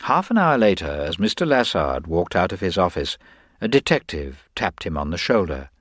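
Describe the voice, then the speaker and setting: A man narrates a story in a low voice with lively, varied intonation. The sound is dry and close, suited to an audiobook. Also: moderate pace, slightly rough, dry recording.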